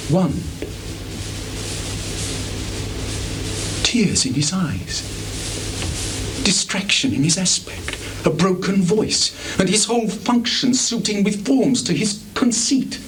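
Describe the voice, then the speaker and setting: An anguished, self-loathing prince hungry for revenge in live Shakespearean theatre. The voice is fairly high for a male and ranges dramatically, with slow, declamatory phrasing. It comes from a 1980s stage recording with clear background noise. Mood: bitter, self-reproachful. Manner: declamatory, drawn-out, swinging from hushed to shouting. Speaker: stage actor.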